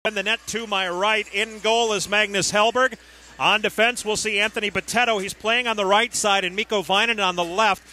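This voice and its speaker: A man calling ice hockey live on the radio as play-by-play announcer, with a clipped, steady running description. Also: sits high, moderate pace.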